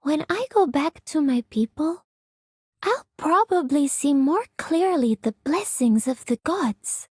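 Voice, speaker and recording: High, slow, serene young traveler. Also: female voice, lively intonation, no room sound, studio quality. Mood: reflective, hopeful. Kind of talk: game character acting.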